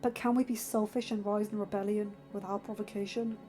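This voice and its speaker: A woman voicing a stern, protective young lord and father in a fantasy story, arguing with forceful, emphatic reason over music. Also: mid-range voice, flat delivery, brisk, lightly acted. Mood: softened, reasoning.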